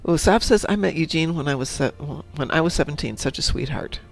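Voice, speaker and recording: A man's voice: fairly high pitch, a little fast, gravelly, soft background music. A man from Chicago hosting a livestream, chatting casually while playing a game, a little stuffy from a cold. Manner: chatty, animated, reads viewer comments aloud. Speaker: stream host.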